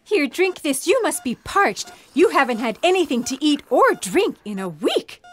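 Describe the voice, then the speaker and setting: A woman's voice: high-pitched, moderate pace. A woman playing an eager, caring yet skeptical scientist in a sci-fi audio drama. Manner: expressive, eager.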